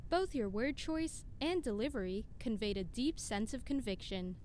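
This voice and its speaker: Actress as stiff henchwoman, formal, literal, earnest, higher pitch, faint rumble behind, audio drama. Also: lively intonation, moderate pace, a little mellow, close to an everyday voice. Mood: earnest, flat. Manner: stilted, formal. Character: stiff, literal-minded female henchperson giving earnest assessments.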